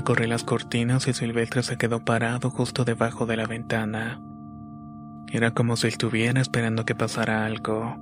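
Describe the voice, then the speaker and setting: A man reading a scripted horror story as a narrator, in a measured, deliberate way. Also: mid-range voice. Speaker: narrator of listeners' paranormal stories. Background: background music.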